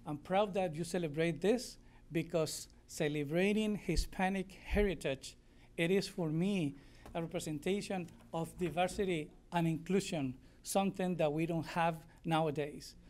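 A man's voice: on the high side, a little mellow. A man born in Spain and raised in Colombia, a native Spanish speaker, gives an earnest, heartfelt thank-you speech at a podium microphone in a council meeting room. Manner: earnest, heartfelt, slightly halting. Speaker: community member accepting a proclamation.

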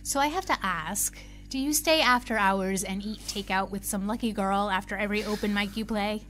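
A woman's voice: mid-range voice, moderate pace, a bit bright, a little hiss or hum, natural voice, low-quality recording. A woman as a wistful, candid young barista. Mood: warm, curious. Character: wistful young female barista and lapsed musician. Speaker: actor. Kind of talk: naturalistic audio drama acting.

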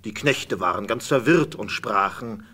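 A man's voice: fairly low pitch, lively intonation, unhurried, sharp tone. A man narrating a scripted fairy tale as a storyteller.

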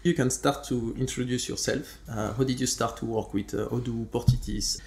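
A man's voice: mid-range voice, steady tone, moderate pace, a little mellow. Interviewing man, roomy room sound. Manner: friendly, hesitant with fillers. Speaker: interviewer, company co-founder. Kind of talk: conversational interview. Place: studio room with sofa. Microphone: desk microphone. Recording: roomy echo.

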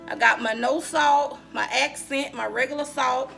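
A woman in her thirties talks to her viewers as y'all while she cooks, unhurried and folksy. Her voice sits high with a strong gravelly fry. The kitchen sounds echoey, and music plays behind her. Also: steady tone, fairly bright tone. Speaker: cooking vlogger. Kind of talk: casual cooking vlog talk to viewers. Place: home kitchen, indoors. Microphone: camera on the counter, moved around.

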